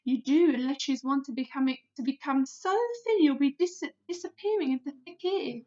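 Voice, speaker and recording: A woman voicing a big, friendly, very old male giant who jumbles his words into made-up ones, in a booming storybook voice. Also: moderate pace, dark tone, lightly acted. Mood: wistful. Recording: dull lo-fi recording.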